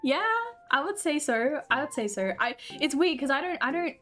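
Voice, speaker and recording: A woman's voice: high voice, animated, normal speed, a bit bright, soft background music. A woman with an Australian accent chatting casually with her viewers while streaming a game, laughing often.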